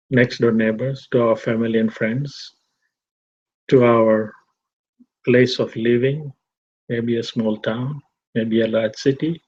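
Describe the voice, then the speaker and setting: Slow, measured middle-aged man. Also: medium pitch, a little mellow, breathy edge. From Tanzania. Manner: slow, measured, repeats phrases. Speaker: fellowship speaker. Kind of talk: reflective talk. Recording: dull lo-fi recording.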